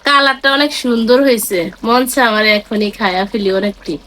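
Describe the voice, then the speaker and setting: A young woman talks through her cooking step by step. Her speech is informal and colloquial, recorded with clear ambient noise behind her. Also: a little high, brisk, a bit bright. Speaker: home cook and vlogger. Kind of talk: casual cooking narration. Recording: noisy ambient background.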